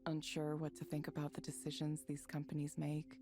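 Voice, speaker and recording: An actress playing a reserved, thoughtful software engineer, speaking in a measured, understated way in a visual novel game. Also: female voice, low voice, completely flat delivery, music in the background. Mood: calm reflective. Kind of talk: naturalistic visual novel voice acting.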